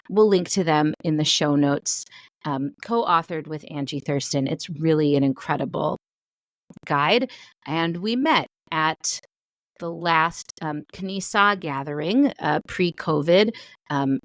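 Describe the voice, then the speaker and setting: A woman's voice: lowish voice, dry recording. A woman hosting a podcast, introducing her guest and chatting enthusiastically, with frequent "um" fillers. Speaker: podcast host. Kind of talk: podcast intro and interview chat.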